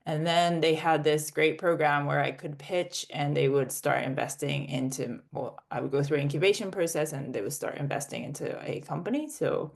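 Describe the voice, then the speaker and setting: A woman in her twenties with a mixed international English accent, a startup founder telling her story as a podcast guest in a fluent, steady way. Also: sits low, moderate pace, creaky, hardly any pauses. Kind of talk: conversational interview answers.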